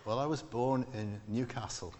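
A man's voice: medium pitch, moderate pace, a little mellow, a little hiss or hum. A middle-aged man, an astronomer from England who grew up in Scotland, answering onstage questions in a mild, hesitant way with dry wit. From England, raised in Scotland. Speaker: astronomer guest. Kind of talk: onstage interview. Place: hall with live audience. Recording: echoey hall.

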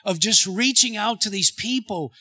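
A man's voice: high voice, moderate pace, sharp tone, husky. A man, the church's pastor, earnestly introducing a guest speaker and reading scripture. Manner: earnest, with restarts. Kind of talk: sermon introduction.

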